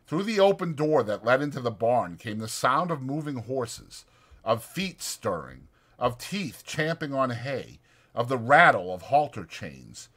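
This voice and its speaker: A man's voice: medium pitch, fairly bright tone, slightly rough. A man reading a novel aloud as scripted, dramatized audiobook narration.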